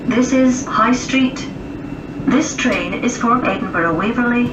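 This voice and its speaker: A woman giving formal recorded railway passenger announcements in measured, segmented phrases, heard over a train's public address. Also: fairly high pitch, recorded from a distance. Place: aboard a moving passenger train. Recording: muffled, noisy, echoey train PA captured from afar. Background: loud train and rail noise.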